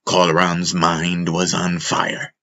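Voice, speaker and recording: Low voiced guy narrating a fantasy audiobook, really slow and deliberate, solemn. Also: monotone, creaky, bright, forceful tone. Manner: measured, solemn, deliberate storytelling. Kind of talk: dramatic scripted fantasy narration.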